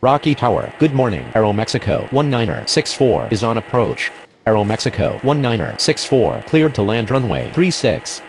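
A man's voice: medium pitch, animated, normal speed, gravelly, slight background noise. A man speaking scripted aviation radio calls.

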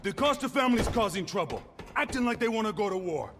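High, animated, barking mobster. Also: male voice, fast, over music. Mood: commanding. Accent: American. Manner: barking, tough. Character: gruff, bossy, irritable male mobster. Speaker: video game voice actor.

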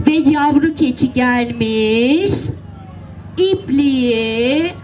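A woman's voice: high voice, drawn-out words, poor audio. A woman storyteller narrating a tale to children and coaxing them to act it out with simple, repeated instructions. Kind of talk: animated storytelling and directing children in acting out a tale. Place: outdoor seaside children's event. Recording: live event, faint crowd and noise. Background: faint crowd and ambient noise.

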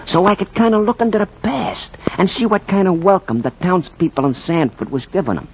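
An actor playing a slangy, folksy baseball fan who narrates theatrically in a 1940s radio drama. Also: male voice, high voice, fairly quick. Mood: wry, colorful. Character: slangy, colorful storyteller, wisecracking baseball fan. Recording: dull, faintly noisy 1940s radio recording.

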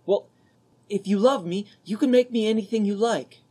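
An actor plays an ardent young gentleman in a radio drama. His voice is soft-edged and mellow, with a husky grain. He speaks earnestly and pleadingly, like a boy in love. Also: sits high, moderate pace. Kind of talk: old-time radio drama acting.

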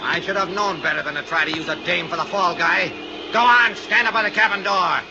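High-voiced scheming radio villain. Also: male voice, brisk. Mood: menacing. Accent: American. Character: cold, scheming, murderous male insurance swindler. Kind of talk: 1950s radio drama villain acting. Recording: muffled, noisy 1950 radio recording.

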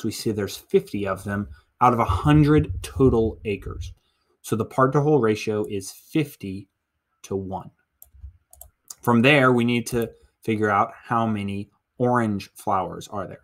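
Male middle school teacher, American, lowish pitch, walking students through an on-screen exercise in methodical steps. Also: a bit bright. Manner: step-by-step explaining, counting aloud. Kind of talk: instructional screencast walkthrough.

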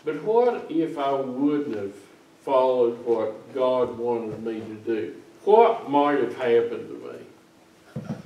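Older pastor, slow and folksy, roomy church. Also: male voice, mid-range voice, expressive, dull audio. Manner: folksy, slow, teasing and chatty with kids. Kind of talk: children's sermon. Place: small church sanctuary, seated among children. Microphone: far camera. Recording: roomy church sound from far off.

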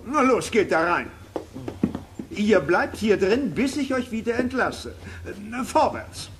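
An actor playing a strict, cranky eccentric who owns a spooky house, scolding and commanding in a children's radio play. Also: male voice, high-pitched, big pitch swings, slow pace, full character voice. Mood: gruff, suspicious. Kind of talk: German audio drama acting. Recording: long reverb, clearly noisy.

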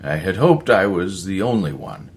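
A man's voice: deep voice, sing-song, normal speed, voice pushed up. A man voicing a rueful, guilt-ridden scientist of sixty in a story, sympathetic and resigned.

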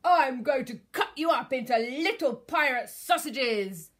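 A woman voicing a great greedy male Tyrannosaurus pirate in a children's story, loud and menacing. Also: high voice, lively intonation, pitched above the performer's own voice. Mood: gloating menace. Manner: loud, menacing, drawn-out threats.

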